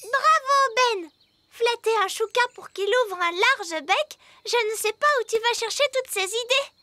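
A woman voicing a sweet, excitable little fairy princess girl in a children's cartoon dub, childlike and earnest. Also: very high-pitched, unhurried, full character voice. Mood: delighted.

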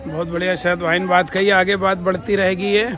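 A high-pitched man speaks casually to camera. He is out in an open village street and slips English phrases into his remarks. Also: level tone, brisk, fairly bright tone. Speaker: member of a cycling group.

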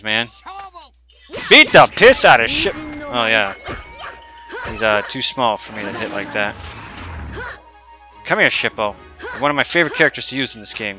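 A man doing casual gameplay commentary as a streamer, joking and swearing in slangy banter. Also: on the low side. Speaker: gaming streamer. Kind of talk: casual game playthrough commentary.

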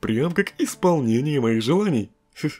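A man's voice: a little high, animated, normal speed, darkish tone, breathy edge, soft background music. A man voicing a lazy, joking male skeleton in a fan comic dub, drawling and chuckling at his own puns. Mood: laid-back.

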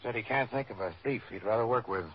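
An actor playing a tough police detective in an old radio drama, dry, laconic and needling. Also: male voice, medium pitch, quick pace, slightly rough, close to an everyday voice. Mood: dryly needling. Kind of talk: 1950s radio drama acting. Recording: muffled, noisy old radio recording.

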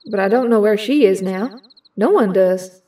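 A woman's voice: medium pitch, moderate pace, dark tone, recorded from a distance, lightly acted. A woman playing a frank, sympathetic young mutant heroine in an audio drama. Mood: sympathetic, reluctant.

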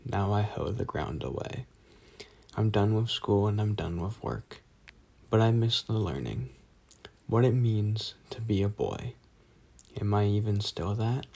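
A man's voice: fairly low pitch, gravelly, dark tone, husky. A young man, a student storyteller, reciting a scripted poetic monologue. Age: young adult.

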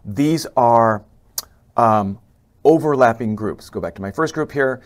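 A middle-aged man, an eye doctor, talks through a slide lecture from his office. His speech is casual and full of fillers, with frequent restarts. His voice sits at an ordinary pitch. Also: a little slow. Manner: conversational, many fillers and restarts. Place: indoor office, webcam inset over slides.

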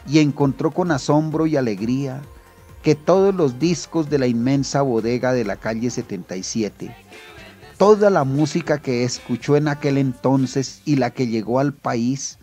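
A man reading scripted literary narration in a measured storytelling manner. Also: mid-range voice, dark tone, faint music behind.

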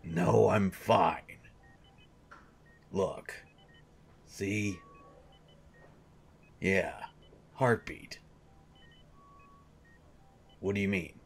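A man's voice: sits low, vocal fry, slightly rough, exaggerated voice. A man voicing a grumpy coach turning monstrous. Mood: irritated. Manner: halting, hesitant, repeating himself. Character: gruff male sports coach turning into a hungry monster. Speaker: audio drama voice actor. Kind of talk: audio roleplay monologue.

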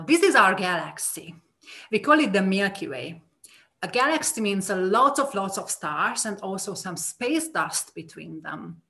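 Woman astrophysicist, thirties, from Hungary, bright clear voice, friendly explainer, video call. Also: medium pitch. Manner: friendly, explanatory, points things out on slides. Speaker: astrophysicist guest speaker. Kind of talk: public science talk. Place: home room.

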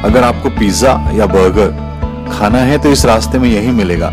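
Male travel host, low-pitched voice, scripted voice-over, direct address to viewers, loud instrumental music underneath. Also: moderate pace. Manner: addresses viewers directly, switching into English phrases. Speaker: travel vlog host and narrator. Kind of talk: scripted voice-over narration of a road trip.

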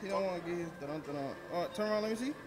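A man's voice: high voice, expressive, very fast. A young man filming his friend's workout in a large gym, teasing and hyping him up. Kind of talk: casual banter at the gym. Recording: gym music behind the voice. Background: music playing.

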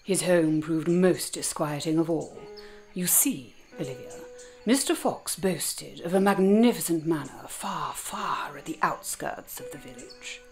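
A woman playing a grandmother in an audio drama, telling an old-fashioned tale in a hushed, measured manner. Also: low-pitched, lively intonation, soft background music. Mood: ominous storytelling. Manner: hushed, measured, archaic storytelling.

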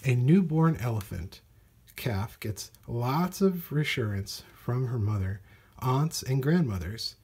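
A man reads a children's nature book aloud. His voice sits at a middling pitch with a noticeably breathy, husky grain. He phrases each sentence deliberately, as narration for young listeners. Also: animated, darkish tone. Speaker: storybook reader. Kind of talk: read-aloud children's nonfiction storybook narration.

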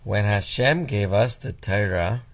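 A man reading a children's story aloud to a small child in a playful, expressive manner. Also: fairly low pitch, a little slow. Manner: playful, acts out animal sounds and emphatic words. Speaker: storybook reader.

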